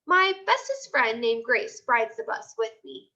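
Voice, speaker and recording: A woman voicing an excitable, opinionated little kindergarten girl in a children's chapter book, childlike and dramatic. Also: a little high, a little slow, highs cut off, exaggerated voice. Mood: emphatic, opinionated.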